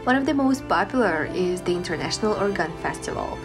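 A woman's voice: on the low side, lively intonation, fast talker. Woman narrating a travel guide over music. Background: background music.

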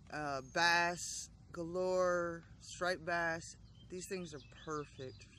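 A young woman filming her own fishing vlog on an action camera by a canal, talking casually and exclaiming loudly over her catches. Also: lowish voice. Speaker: fishing vlogger. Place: outdoors by a canal shore. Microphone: action camera on the body. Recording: outdoor wind and traffic noise.

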